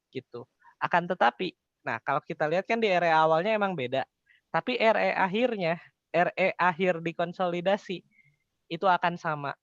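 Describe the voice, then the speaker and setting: A man's voice: high voice, fairly bright tone, no room sound, lo-fi sound. A young man tutoring informally, explaining step by step and often asking questions he then answers. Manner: poses questions then answers them, colloquial. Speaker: accounting tutor.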